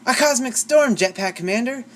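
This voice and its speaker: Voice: mid-range voice, lively intonation, moderate pace, a little hiss or hum, pitched above the performer's own voice, close to an everyday voice. A reader voicing a timid, eager space pilot. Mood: anxious.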